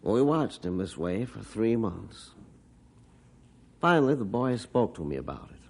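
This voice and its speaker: A grieving middle-aged father from an old radio play, telling his story in a deep, low voice. He speaks earnestly and from the heart. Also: big pitch swings, normal speed, vocal fry, a little mellow, full character voice. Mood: somber. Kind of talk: 1950s radio drama acting.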